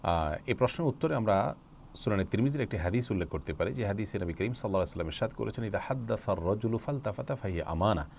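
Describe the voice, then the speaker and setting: A man speaking as an Islamic scholar, answering religious questions in a measured, formal, explanatory way with hesitant pauses. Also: lowish voice, lively intonation, creaky, a little mellow. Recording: noticeable background noise.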